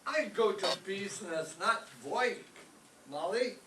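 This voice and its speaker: A man's voice: high-pitched, animated, a little slow. A man playing a proud, stubborn immigrant husband and businessman in a staged 1930s radio comedy. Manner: self-important, immigrant phrasing. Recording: echoey distant stage recording, faint noise.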